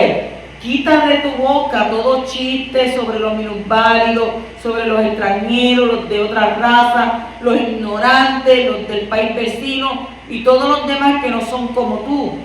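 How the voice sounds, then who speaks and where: A woman's voice: on the high side, fairly even intonation, measured pace. A woman from Puerto Rico with a Puerto Rican accent, preaching a conversational sermon and asking her listeners rhetorical questions. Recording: echoey room from far off, faint noise.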